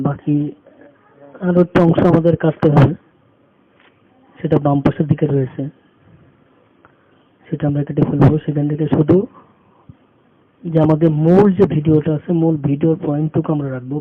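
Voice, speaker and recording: A man giving an unscripted step-by-step tutorial, halting and repeating phrases as he works. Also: a little high, steady tone, husky. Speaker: tutorial instructor. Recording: muffled phone-like audio with background noise.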